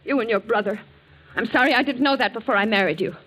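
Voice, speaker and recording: An actress playing a bitter, ailing rich wife who scolds sharply in a 1940s radio drama. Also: female voice, fairly high pitch, quick pace, poor audio. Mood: scornful anger. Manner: sharp, scornful, accusing. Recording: old 1940s radio broadcast.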